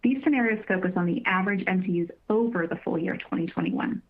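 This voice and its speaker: A woman's voice: mid-range voice, fast talker. Woman on a phone line, scripted corporate delivery. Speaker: company finance executive presenting on an earnings call. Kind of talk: prepared corporate presentation, scripted. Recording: narrow tinny phone-line conference call.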